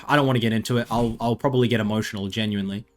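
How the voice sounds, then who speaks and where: A man's voice: lowish voice, fairly even intonation, rapid-fire. An Australian man giving rambling, self-mocking commentary over gameplay, full of chatty asides. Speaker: gaming video creator.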